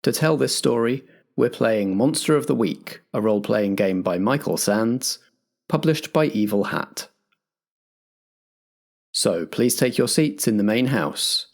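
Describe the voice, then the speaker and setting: A man's voice: medium pitch, expressive, a little slow, gravelly. A man introducing the show as its compere, in a theatrical announcer delivery. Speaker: host and compere.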